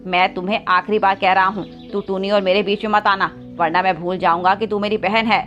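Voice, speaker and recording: A woman's voice: medium pitch, level tone, a little fast, fairly bright tone, muffled recording, soft background music, voice pushed down, lightly acted. A woman voicing a kind, earnest young male parrot, a protective big brother, in a children's cartoon story. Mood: stern. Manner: reassuring, earnest, firm.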